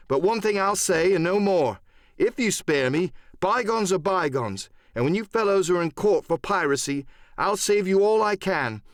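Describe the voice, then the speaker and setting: A man's voice: sits high, no room sound, voice pushed up. Guy doing plucky young lad, raised voice, bold defiant speechifying, dry audiobook recording. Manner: earnest, bold, declamatory. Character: brave, defiant teenage boy held by pirates.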